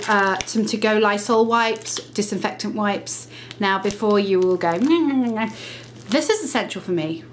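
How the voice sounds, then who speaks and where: Woman vlogger, mid-pitched, conversational, slightly nasal with a cold, faint background hiss. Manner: chatty, self-correcting, brisk explaining. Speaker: lifestyle vlogger. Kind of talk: casual talk to camera.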